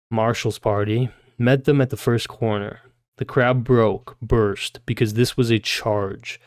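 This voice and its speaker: A male livestreamer with a medium-pitched voice reads literary prose aloud. He slips between narration and loose, informal chat, with puzzled asides and casual swearing. The sound is dry, with very little room in it. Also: a little mellow, smooth, no echo.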